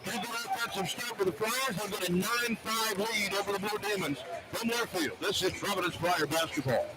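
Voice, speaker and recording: A man's voice: high-pitched, fairly quick, heavy background noise. A man calling live radio basketball play-by-play in short, clipped phrases. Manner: clipped present-tense phrases. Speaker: play-by-play announcer.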